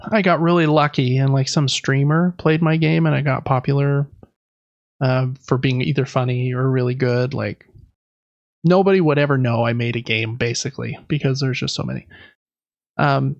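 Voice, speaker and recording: Gravelly-edged man hosting a casual gaming podcast. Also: mid-range voice, normal speed, no room sound, muffled recording. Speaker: podcast host.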